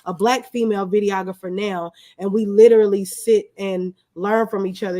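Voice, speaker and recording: A woman's voice: medium pitch, a little mellow. Woman guest chatting candidly. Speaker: guest, small business owner and videographer. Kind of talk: casual conversational interview.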